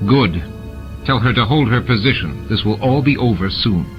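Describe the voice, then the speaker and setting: A steady, weary commander voiced by a male narrator speaks gravely and with authority in a low voice. The science fiction audiobook has music clearly behind it. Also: moderate pace, muffled recording, close to an everyday voice. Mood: reassuring. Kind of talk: audiobook character dialogue. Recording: music bed behind the reading.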